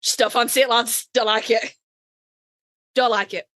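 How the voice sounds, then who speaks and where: A woman's voice: a little high, steady tone, measured pace, fairly bright tone, dry recording, studio quality. A woman with a Yorkshire accent co-hosting a podcast, chatting casually and bluntly about her opinions with plenty of swearing and dramatic emphasis. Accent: Yorkshire English. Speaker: podcast co-host. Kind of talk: casual opinionated fan chat, ranked countdown.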